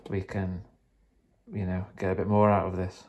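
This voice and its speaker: A man talks through his hobby in a casual, unscripted way. His voice is low and very even, with a mellow tone. He rambles a little and sounds unsure of himself, with clear noise around him. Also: moderate pace, muffled recording. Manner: tentative, self-deprecating, rambling. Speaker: vlogger, hobbyist trying out radio gear. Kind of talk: casual unscripted vlog, explaining as he goes.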